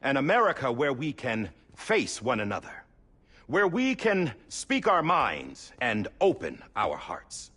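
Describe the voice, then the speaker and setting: An actor playing a solemn statesman giving a formal, emphatic ceremonial speech to the nation in a video game, with a husky voice. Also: medium pitch, huge pitch swings, sharp tone, heavily acted. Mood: rousing, solemn. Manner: oratorical, measured, emphatic.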